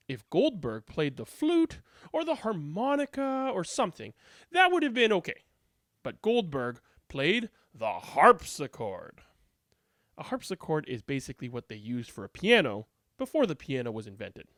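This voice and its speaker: A woman's voice: low voice, fairly bright tone, dry recording, clean studio sound. A woman reading a children's storybook aloud as narrator, with a dramatic, comic, emphatic delivery.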